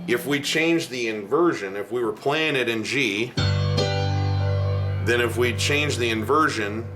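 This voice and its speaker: A middle-aged man with a low voice teaching piano in a casual video lesson, talking bluntly and chatting between the keys he plays. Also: a little slow. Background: piano playing.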